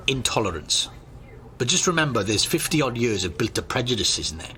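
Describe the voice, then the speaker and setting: Man playing a bright-voiced, reasonable, lively peacemaker. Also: medium pitch, a little fast, slight background noise. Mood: reasoning. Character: level-headed, reasonable male friend who keeps the peace. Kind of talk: audio drama dialogue.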